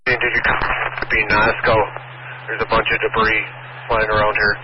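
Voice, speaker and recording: A man's voice: fairly low pitch, little pitch movement, normal speed. A man speaking as a patrol officer, reporting in unscripted police radio traffic. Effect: two-way radio. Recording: very noisy, muffled police scanner.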